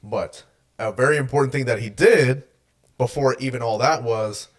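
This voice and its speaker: A man co-hosting a casual podcast who explains things in a relaxed way, often checking in with "right?". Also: mid-range voice, moderate pace. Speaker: podcast co-host; hospital medical worker.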